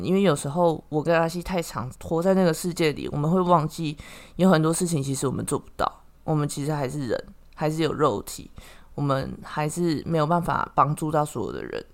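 This is a podcast host with a Taiwanese Mandarin accent and a medium-pitched voice. The talk is casual and reflective, with points explained at length. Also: vocal fry. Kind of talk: casual chat.

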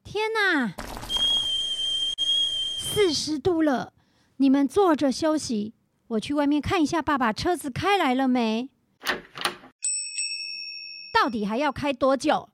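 Woman playing caring fretful mom, high voice, Taiwanese Mandarin, children's audio drama, noisy background. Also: lively intonation, takes it slowly, pauses often. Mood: anxious, urgent. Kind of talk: children's radio drama acting.

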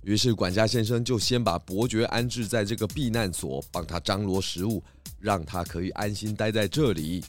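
A man's voice: fairly low pitch, moderate pace, faint music behind, natural voice. A man voicing a confident, clever pig detective with a jovial, explanatory manner in a children's audio drama. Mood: explaining. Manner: self-assured, jovial, explanatory.

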